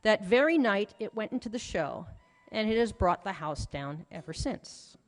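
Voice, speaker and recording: A woman's voice: medium pitch, lively intonation, moderate pace. A woman giving a prepared lecture to an audience, with an articulate, storytelling delivery and emphatic phrasing. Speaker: lecturer, author presenting research. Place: large hall at a conference. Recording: reverberant hall, music playing behind. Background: music.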